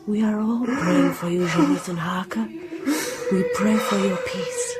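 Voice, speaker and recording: Gentle-mannered nursing sister played by a woman, very slow, lilting pitch, gothic drama, prominent music. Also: lightly acted. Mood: prayerful. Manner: calming, prayerful. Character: soothing, devout nursing nun. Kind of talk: gothic audio drama acting.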